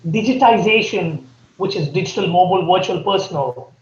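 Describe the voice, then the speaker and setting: High-voiced Indian man, echoey room. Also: expressive. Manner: earnest, emphatic, lists points deliberately. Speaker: guest. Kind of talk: podcast interview. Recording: echoey, dull remote audio.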